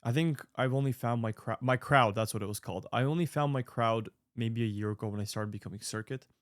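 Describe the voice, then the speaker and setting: A man in his late twenties talks straight to his audience, offering sincere life advice. He rambles, restarts and fills gaps as he goes, his voice sitting at an ordinary middle pitch. The sound is dry and close, with no room echo. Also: fast. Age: 20s. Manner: earnest, rambling with fillers and restarts. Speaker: online creator answering viewer mail. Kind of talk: casual advice monologue.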